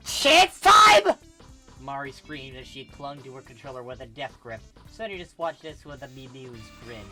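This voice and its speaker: An amateur storyteller reads fan fiction with a dramatic, animated delivery. The voice is mid-pitched, bright and forceful, with music playing behind it.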